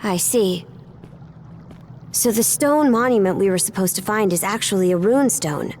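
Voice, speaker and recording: An actress playing a resolute young seafaring adventurer in a fantasy game, with a brisk, matter-of-fact delivery. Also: female voice, medium pitch, a little mellow, slight background noise, close to an everyday voice. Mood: thoughtful, explanatory. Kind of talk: video game cutscene voice acting. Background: music.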